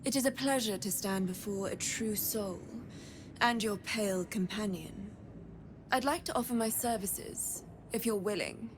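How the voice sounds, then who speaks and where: A woman playing an eerie, imperious blood trader with morbid curiosity in a video game, speaking in a measured, silky, haughty way. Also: mid-range voice, background noise, lightly acted. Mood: silky, intrigued. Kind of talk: dramatic video game acting.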